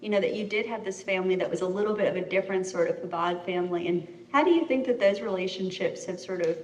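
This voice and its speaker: A woman hosting a live community interview asks questions in a friendly, relaxed way and uses lots of fillers. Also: lowish voice, quick pace. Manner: friendly, relaxed, many fillers like you know. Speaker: interviewer, series host. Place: community center event room. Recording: echoey room, far from mic.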